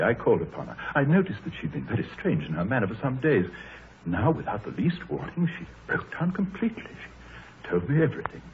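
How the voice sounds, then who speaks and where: An actor playing a troubled, anxious country gentleman, grave, hesitant and formal, in a 1930s radio drama. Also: low-pitched. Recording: muffled, noisy 1930s radio recording.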